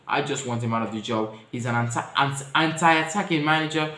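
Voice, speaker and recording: A man's voice: brisk, reverberant. A man giving his opinions to viewers in a casual vlog, chatty and speaking straight to the audience. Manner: chatty, addresses viewers directly, shifts pitch and loudness often. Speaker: football vlogger.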